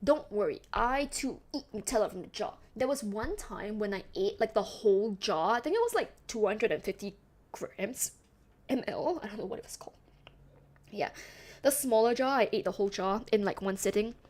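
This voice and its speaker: A young woman from Singapore with a softened Singaporean accent, chatting casually with her stream chat, rambling with lots of fillers and laughs. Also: medium pitch, expressive, moderate pace. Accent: Singaporean, softened toward Western English.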